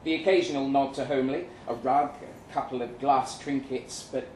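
A man performing a live short-story reading as a weary, jaded agent, speaking deliberately with dramatic pauses. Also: medium pitch, steady tone, a little mellow, lightly acted. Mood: wistful. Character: weary, jaded male agent narrating his own story. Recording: roomy live venue, some noise.

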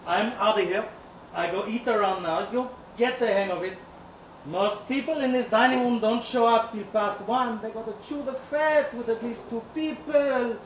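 A man's voice: very high-pitched, normal speed. A man reading a dramatic monologue live, playing a cocky, lovesick, resentful kitchen worker in a colloquial, confiding, rambling way. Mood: brisk, instructing. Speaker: story reader. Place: indoor live reading event. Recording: roomy, distant live-event recording, faint noise.

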